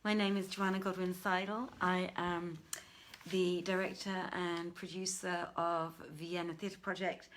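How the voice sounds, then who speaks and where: A woman's voice: lowish voice, level tone, a little slow, a bit bright, a little hiss or hum. A woman hosting a reading series, speaking in a casual, effusive welcome to her livestream audience. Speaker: theatre director and host of a reading series. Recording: music playing behind the voice. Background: music.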